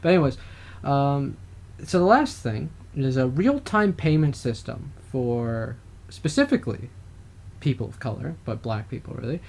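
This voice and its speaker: A man's voice: mid-range voice, lively intonation, normal speed, gravelly, a little mellow. A man co-hosting a casual political podcast, talking in a rambling way with frequent hesitations and restarts. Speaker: podcast co-host.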